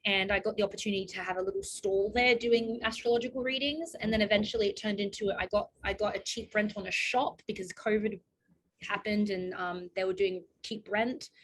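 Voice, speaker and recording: A woman in her thirties chats as an interview guest, her voice sitting at an ordinary middle pitch. She talks loosely and warmly, laughs easily, and often trips over and restarts her sentences. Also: steady tone, brisk, husky, rough recording. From Australia. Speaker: guest astrologer. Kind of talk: casual interview chat.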